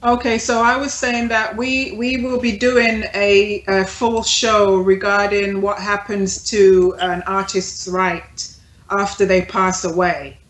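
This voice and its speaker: Slow, careful woman on an echoey line. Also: medium pitch, little pitch movement. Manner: slow and careful with many fillers. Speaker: co-host. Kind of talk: reading a listener question on a call.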